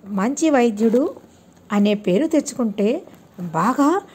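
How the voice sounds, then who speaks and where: A greedy village doctor and father, read by a woman storyteller, reasons persuasively in a moral audiobook tale. The voice is mellow and a little husky, with lively, instructive swings in pitch. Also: measured pace.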